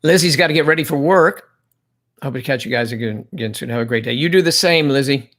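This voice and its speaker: A man's voice: mid-range voice, fast talker, a bit bright. Chatty man hosting a livestream. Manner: rambling, chatty, reads viewer comments aloud. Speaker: livestream host. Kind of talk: casual livestream chat.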